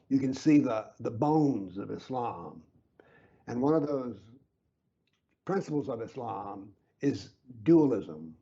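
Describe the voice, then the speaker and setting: A man being interviewed who explains his views earnestly and emphatically, sometimes dropping to a low whisper to stress a point. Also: dark tone, breathy edge, dull audio. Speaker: interview guest, author.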